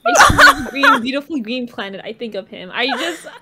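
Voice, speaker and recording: A woman's voice: high voice, wildly swooping pitch, moderate pace, heavy background noise. A woman playing a giddy, lovesick, slightly unhinged male vlogger in an improvised comedy dub, gushing and rambling. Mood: desperate longing. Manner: gushing, rambling, breaking into laughter. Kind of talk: improvised parody fandub of a vlog.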